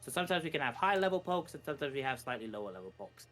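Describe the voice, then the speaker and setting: Animated man commentating a game, music behind. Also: mid-range voice, racing. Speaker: speedrun commentator. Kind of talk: casual live game commentary.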